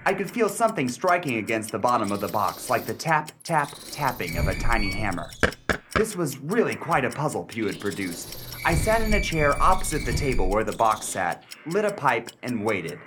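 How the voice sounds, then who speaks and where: A man acting as a shrewd, sardonic gentleman narrator in a mystery radio play, speaking with deliberate, theatrical emphasis and dry wit. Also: medium pitch, big pitch swings, noisy background, close to an everyday voice. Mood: wondering, deliberate.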